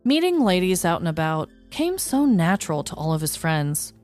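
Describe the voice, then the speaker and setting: A young adult woman co-hosting a podcast, chatting casually and telling a story, animated with quick laughing asides. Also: on the low side, faint music behind. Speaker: podcast co-host. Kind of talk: casual chat and scripted storytelling.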